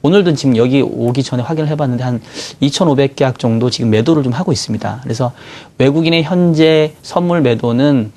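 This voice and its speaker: A man with a cold-roughened voice, a bond strategy guest in a TV studio, explaining market moves in a measured way with many fillers. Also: mid-range voice, mellow. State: hoarse from a cold. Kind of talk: financial market analysis.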